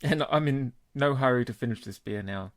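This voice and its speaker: A man's voice: mid-range voice, a little fast, no room sound. Laid-back man, dry close sound. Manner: relaxed, laid-back, swears casually. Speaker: podcast co-host. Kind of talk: casual chat.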